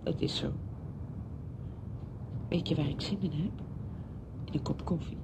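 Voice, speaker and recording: An actress playing a chatty, well-meaning visitor in a radio drama, with a coaxing, fussing manner. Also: female voice, steady tone, unhurried. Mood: brisk, helpful. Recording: noisy old radio play recording, low rumble.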